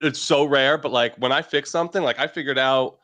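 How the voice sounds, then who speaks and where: A man's voice: medium pitch, brisk, fairly bright tone. A man co-hosting a markets show, chatty and teasing, laughing easily. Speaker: co-host. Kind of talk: casual chat.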